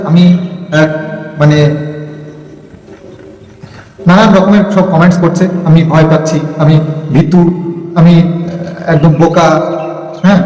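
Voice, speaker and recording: A young man talking casually to vlog viewers about personal grievances, haltingly, repeating words for emphasis. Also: sits high, a little mellow. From India, Bengal region. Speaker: vlogger. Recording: echoey, reverberant room.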